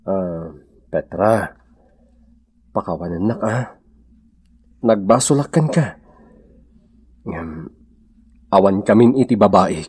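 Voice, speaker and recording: A low, very raspy husband with an Ilocano accent. He is a sheepish philanderer, coaxing slowly and hesitantly as in a radio drama. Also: darkish tone.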